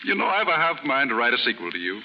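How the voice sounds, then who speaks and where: Preoccupied gentleman writer, played by a man, polite, evasive, animated intonation, reverberant vintage radio drama. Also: medium pitch, fast, slight background noise, lightly acted. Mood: tired, amused. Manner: polite, hesitant, gently patronizing. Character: preoccupied gentleman novelist, genial but evasive. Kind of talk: 1930s radio acting. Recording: muffled, echoey 1930s radio broadcast.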